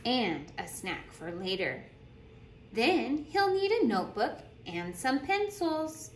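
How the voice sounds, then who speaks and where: A woman reading a picture book aloud like a teacher, telling it deliberately and putting questions to young listeners. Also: fairly high pitch, sing-song. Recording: roomy echo with clear background noise.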